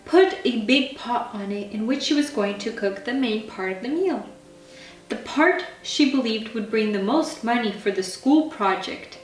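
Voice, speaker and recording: Slow, high-voiced woman reading a bedtime story. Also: expressive. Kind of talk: slow scripted narration of a children's bedtime story. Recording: roomy, distant mic, faint music.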